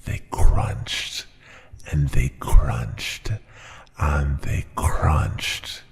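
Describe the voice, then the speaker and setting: Cavernous, gravelly dragon tyrant. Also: male voice, fairly even intonation, exaggerated voice. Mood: cold, gloating. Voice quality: very deep gravelly growl, often sinking to a breathy whisper. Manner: slow, deliberate, haughty and menacing. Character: ancient tyrant dragon ruler, proud, cruel and menacing. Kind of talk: ASMR fantasy roleplay monologue.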